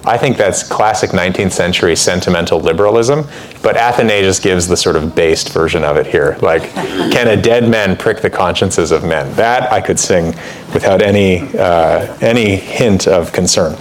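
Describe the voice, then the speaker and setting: Low-voiced man giving a casual lecture. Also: normal speed, a crowd in the background. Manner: conversational, with frequent fillers and asides; reads quotations aloud with more emphasis. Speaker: church history teacher. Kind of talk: informal lecture.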